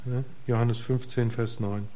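A middle-aged man preaching a casual sermon to a congregation in a church, asking them questions and swinging between emphatic bursts and quiet, soft asides. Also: medium pitch, level tone, measured pace. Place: church hall before a congregation.

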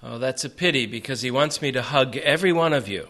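An American man preaching to a congregation in short phrases, pausing for an interpreter after each one. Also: medium pitch, sharp tone. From United States. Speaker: visiting preacher.

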